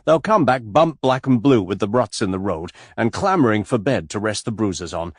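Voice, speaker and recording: A man voicing a blunt, hearty adventurer who talks in rough, direct questions. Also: on the low side, expressive, moderate pace, no echo, studio quality.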